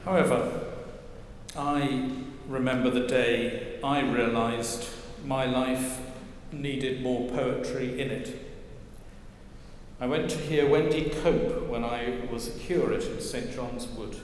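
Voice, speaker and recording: An older man preaching a sermon from a church lectern, wry and gently humorous as he tells stories. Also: mid-range voice, steady tone, takes it slowly, slight background noise. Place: large stone church, at a lectern. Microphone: lectern mic, far camera. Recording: echoey church with long reverb.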